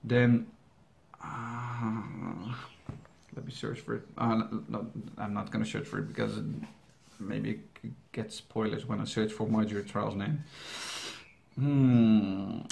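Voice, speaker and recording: A man's voice: on the low side, creaky. A young Dutch man, whose first language is Dutch, answering viewer questions in English in a rambling, hesitant, joking way. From Netherlands. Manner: rambling, hesitant, with frequent fillers and restarts, joking. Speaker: YouTube reaction channel host.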